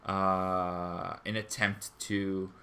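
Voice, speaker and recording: Low-voiced man hosting a live stream. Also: level tone, very slow, bright, forceful tone. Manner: rambling, hesitant, conversational. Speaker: fan channel stream host. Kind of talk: live stream chat, reading viewer comments.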